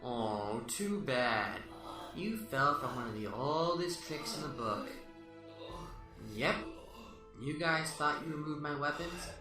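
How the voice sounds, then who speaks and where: A man's voice: fairly high pitch, lively intonation, takes it slowly, recorded from a distance. A man acts out a sadistic male killer for an audio drama, taunting with smug menace. His delivery swings up and down. It is picked up off-mic in a roomy space, with music underneath. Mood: gloating. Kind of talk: amateur radio play acting.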